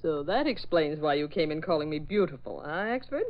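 Lively, teasing wisecracking woman. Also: deep voice, fairly quick. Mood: mock offended. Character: teasing, wisecracking young female office colleague. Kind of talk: 1940s comic radio acting. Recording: muffled vintage radio drama recording.

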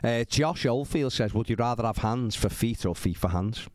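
A man's voice: medium pitch, moderate pace, darkish tone, no echo. A man hosting a podcast in casual chat, reading out listener questions and chipping in.

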